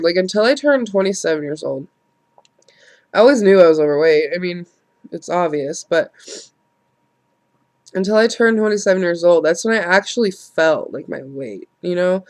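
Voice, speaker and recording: A woman, congested and nasal from a cold, rambling frankly through a casual vlog, swearing and laughing at herself. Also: lowish voice. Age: late 20s. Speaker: vlogger.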